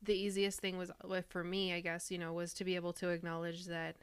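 A young woman with a naturally deep voice, co-hosting a podcast and candidly sharing personal stories in casual chat. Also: completely flat delivery, a little fast, smooth. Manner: candid, reflective storytelling. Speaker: podcast co-host.

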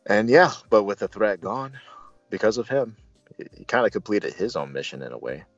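Low-voiced man reviewing a film, dull audio. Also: sing-song, no room sound. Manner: halting, earnest fan talk with colloquial asides. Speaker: movie reviewer and show host. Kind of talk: casual film discussion, retelling scenes. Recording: dull lo-fi audio with faint music. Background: faint music.

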